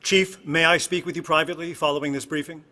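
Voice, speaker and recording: Soldier, male, audio drama. Formal and pushy, bright forceful tone, pitched a little high. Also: fairly even intonation, fast. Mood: insistent.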